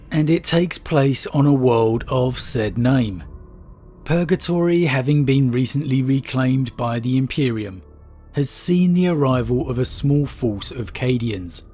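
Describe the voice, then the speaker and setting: A man with a stuffy nose from a cold, hosting a lore channel and reading a wargame story aloud in a measured, steady storyteller's delivery. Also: mid-range voice. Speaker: YouTube lore channel host.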